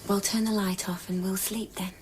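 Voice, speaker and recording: An actress playing a sorrowful, devoted lover in a radio play, whispering breathily and intimately with a gentle pleading manner. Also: female voice, mid-range voice, level tone, normal speed, slight background noise, lightly acted. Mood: weary, intimate.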